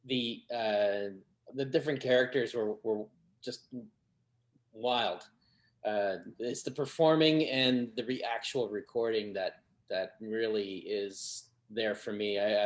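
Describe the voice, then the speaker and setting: Male voice actor, medium pitch, halting casual talk with repeated phrases. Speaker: voice actor in an audio drama. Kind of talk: casual chat.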